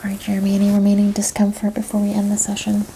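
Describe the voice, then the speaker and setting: A woman speaks softly as a chiropractor in an ASMR roleplay, her voice mellow and hushed, often slipping toward a whisper. There is some creak in it, at an average pitch, over faint background noise. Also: completely flat delivery, very fast, low-quality recording. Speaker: ASMR host playing a chiropractor. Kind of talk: soft-spoken ASMR medical roleplay.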